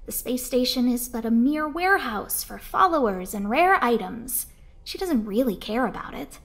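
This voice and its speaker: A woman's voice: on the high side, lively intonation, moderate pace. An actress playing an earnest young woman lead researcher, composed but worried, polite and formal, in an anime game. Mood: concerned. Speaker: game character, space station lead researcher. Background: game background music.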